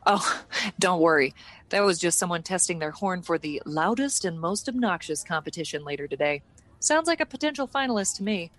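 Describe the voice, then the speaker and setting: A woman's voice: on the low side, lively intonation, fairly quick, fairly bright tone. A woman playing a confident, petite truck designer in a scripted comedy radio drama, casual and folksy. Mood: cocky.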